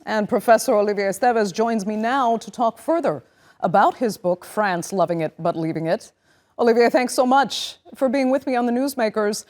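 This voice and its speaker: A woman hosting a news program, reading a script in a clear, measured way with emphatic openers. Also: a little high, darkish tone. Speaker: news show host.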